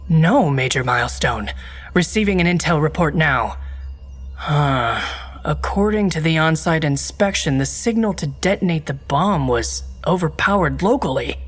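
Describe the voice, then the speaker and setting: A woman's voice: sits low, expressive, normal speed, husky, faint music behind. An actress playing a serious, skeptical female secret agent with a dry, businesslike manner in a comedic video game.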